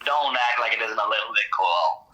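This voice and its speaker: A man's voice: lowish voice, animated, moderate pace, sharp tone, rough recording. A man playing a blunt, teasing, pragmatic researcher with a dry delivery, in a naturalistic conversational audio drama.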